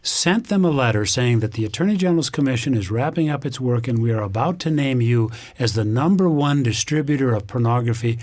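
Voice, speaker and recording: A man's voice: mid-range voice, lively intonation, a little fast, few pauses, a little mellow, no room sound. Earnest man, radio interview guest. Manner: earnest and explanatory.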